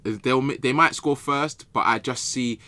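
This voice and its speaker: A man's voice: medium pitch, unhurried, bright, forceful tone. A man giving his take as a football podcast pundit in casual, slangy speech, often repeating phrases for emphasis. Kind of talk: casual sports chat.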